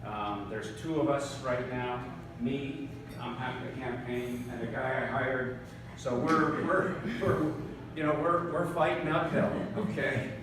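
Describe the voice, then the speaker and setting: A man's voice: medium pitch, level tone, unhurried, background noise. A man in his fifties from northern New York, a congressional candidate giving a folksy, conversational campaign speech with fillers and repeated words. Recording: echoey room recorded from far off.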